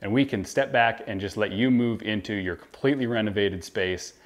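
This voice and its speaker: Low-voiced American man explaining. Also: a little fast. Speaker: home renovation contractor presenting. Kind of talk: informal explainer to camera. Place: indoor kitchen.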